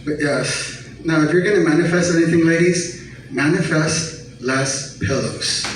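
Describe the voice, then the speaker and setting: A man's voice: fairly high pitch, unhurried. A man performing an amateur stand-up comedy set in a conversational, sarcastic, teasing way. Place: comedy bar stage. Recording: very echoey noisy venue, distant mic.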